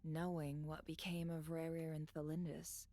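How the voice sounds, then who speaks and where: An actress playing a somber, soft-spoken, pessimistic woman in a fantasy game. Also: low-pitched, flat delivery, normal speed, natural voice.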